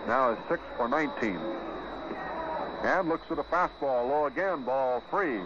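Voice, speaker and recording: An American man doing 1950s radio baseball play-by-play in short, measured, clipped phrases. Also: mid-range voice, dull audio. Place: ballpark radio broadcast. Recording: noisy, band-limited 1950s radio broadcast.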